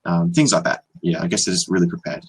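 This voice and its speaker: A young Australian man on a video call, tutoring in a relaxed, hesitant way with many ums and restarts. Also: deep voice, quick pace, husky. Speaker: online English tutor. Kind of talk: casual video-call conversation.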